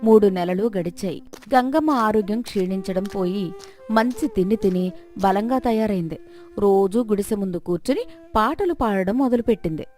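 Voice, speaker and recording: A woman's voice: medium pitch, expressive, normal speed, mellow, faint music behind. A woman narrating a scripted moral story as a storyteller.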